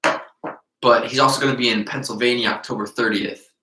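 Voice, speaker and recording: A man of about twenty, co-hosting a casual podcast chat, rambling and laughing often as he gets excited. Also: mid-range voice, fairly quick. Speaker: podcast co-host. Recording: roomy echo.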